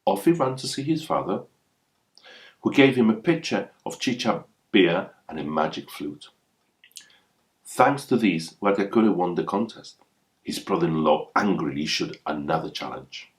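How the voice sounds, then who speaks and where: Low-pitched male folktale storyteller. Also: animated, normal speed. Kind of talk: scripted folktale narration.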